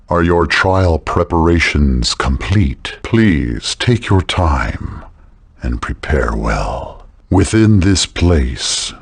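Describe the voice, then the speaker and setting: A man playing a grave old dark messenger in a fantasy game, speaking solemnly and formally with a gravelly, husky rasp. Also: very deep voice, no echo, heavily acted.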